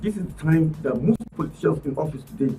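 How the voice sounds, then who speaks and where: A man with a Nigerian accent, arguing politics on a radio talk panel in an animated, emphatic way, often restarting his words. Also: fairly high pitch, fast, distant mic, noisy background. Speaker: political commentator guest. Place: radio studio.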